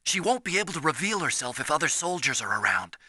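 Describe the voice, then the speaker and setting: Casting: timid, kindly young scientist in a video game. Sharp, lively, dry close recording, earnest delivery. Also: male voice, on the high side, fast talker, breathy edge, dry recording, exaggerated voice. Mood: anxious, prodding. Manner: earnest, anxious, explanatory. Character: earnest, timid young male scientist, soft-hearted and nerdy.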